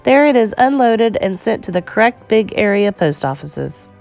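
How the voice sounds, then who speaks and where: A woman reading an educational children's picture book aloud in a measured, clearly phrased storytime delivery. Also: medium pitch, very animated, darkish tone, soft background music.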